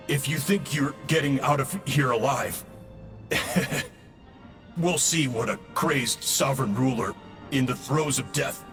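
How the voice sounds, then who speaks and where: A man's voice: medium pitch, fairly bright tone, big-room sound. A man voicing a smug, coolly menacing villainous agent in a video game, with a dry, mocking, theatrical delivery.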